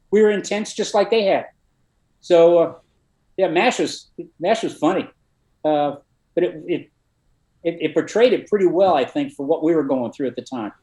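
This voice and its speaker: Older male guest, retired officer, high-ish voice, dry deadpan anecdotes, echoey room. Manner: dry, matter-of-fact storyteller with frequent uh fillers. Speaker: retired army colonel, guest. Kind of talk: casual interview storytelling.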